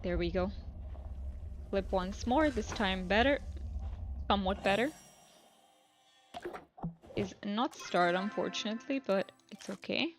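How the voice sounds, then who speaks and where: A woman live streamer chatting casually while playing a video game, relaxed and rambling as she thinks aloud. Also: mid-range voice, a little slow, long pauses. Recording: music playing behind the voice. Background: music.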